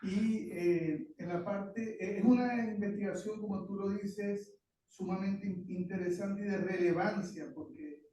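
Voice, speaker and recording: A man speaks up from the audience, his voice fairly high but mellow in tone. He sits far from the microphone, so his words carry a heavy echo with faint noise, and he chooses them carefully, stopping and restarting. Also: steady tone, measured pace. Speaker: audience member commenting. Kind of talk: question-and-answer comment. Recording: distant room mic, strong echo.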